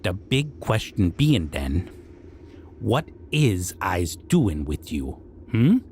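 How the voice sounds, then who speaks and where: A man's voice: low voice, big pitch swings, warm tone, a little hiss or hum, heavily acted. A man voicing a stern, civilized goblin king who speaks in odd broken grammar, like a menacing judge in a fantasy roleplay audio drama. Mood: coldly threatening. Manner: broken, unusual grammar with drawn-out questioning.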